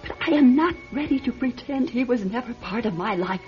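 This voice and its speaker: A woman's voice: a little high, expressive, a little fast, lightly acted. A woman playing a stubborn, distraught middle-aged widow in a classic radio drama, with a fragile, hesitant, emotional delivery. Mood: pleading. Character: distraught, stubborn grieving widow of a famous pianist. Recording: muffled vintage radio, music behind.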